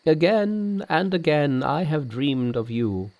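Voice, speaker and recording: A man's voice: fairly high pitch, animated, slow pace, a little mellow, pitched above the performer's own voice. A man voicing an old temple priest in a storybook, awed, grateful and deferential. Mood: reverent, relieved. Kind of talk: storybook character voice.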